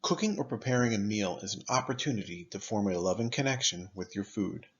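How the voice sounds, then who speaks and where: Gravelly-voiced man guiding a meditation. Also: fairly low pitch, expressive, lo-fi sound. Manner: measured, instructive, with deliberate pauses between phrases. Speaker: meditation guide. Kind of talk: guided meditation, scripted narration.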